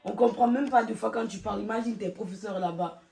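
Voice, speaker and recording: A woman in a comedy sketch, mocking and teasing with quick retorts. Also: medium pitch, creaky, darkish tone, slight background noise. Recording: roomy sound with a group of voices around.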